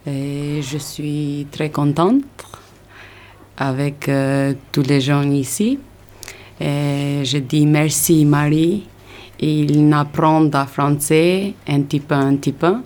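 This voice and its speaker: A woman from Albania, speaking hesitant, halting French as a learner. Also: deep voice, steady tone, a little mellow. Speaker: language learner.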